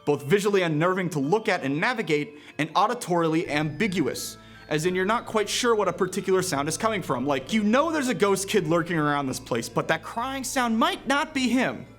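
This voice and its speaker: A man's voice: high-pitched, lively intonation, brisk, fairly bright tone, faint music behind. A man narrating a scripted video game review in a playful, conversational manner, with casual asides and emphatic delivery.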